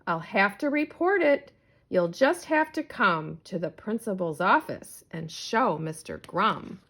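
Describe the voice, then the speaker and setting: A woman's voice: mid-range voice, lively intonation, unhurried, a bit bright. Woman acting a stern, exasperated schoolteacher.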